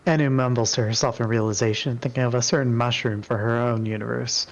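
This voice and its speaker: A man's voice: medium pitch, fairly even intonation, fast talker, highs cut off. A man reading scripted story narration as part of a group roleplay dramatic reading.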